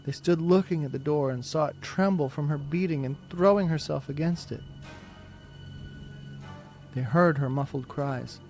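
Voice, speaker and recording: A man narrating a literary story dramatically, in deliberate, separate phrases. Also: on the high side, a little mellow, soft background music, low-quality recording. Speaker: audiobook narrator.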